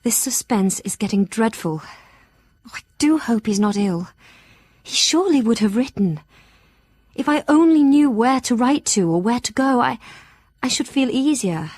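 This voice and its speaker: A woman's voice: fairly high pitch, expressive, normal speed, darkish tone, no echo. A woman playing an anxious, devoted young Victorian woman, confiding earnestly in her journal for an audio drama. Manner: earnest, formal, sighing.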